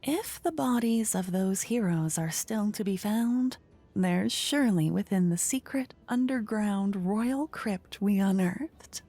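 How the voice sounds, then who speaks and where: A woman playing a playful, regal fantasy queen in a soft-spoken, breathy ASMR roleplay, languid and coy, often slipping into whispers close to the microphone. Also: mid-range voice, animated, takes it slowly, soft background music. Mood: measured, amused. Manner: languid, coy, flirtatious, courtly. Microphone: close ear-to-ear microphone.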